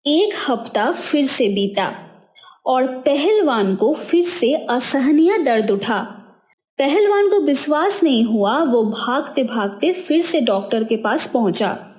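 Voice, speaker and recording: Slow expressive woman storyteller. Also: sits high. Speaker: narrator. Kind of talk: storybook narration.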